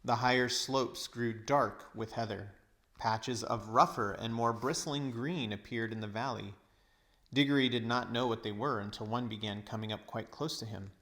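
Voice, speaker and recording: A man reads a storybook aloud in a medium-pitched voice. His narration is measured and expressive, like a teacher reading to a class.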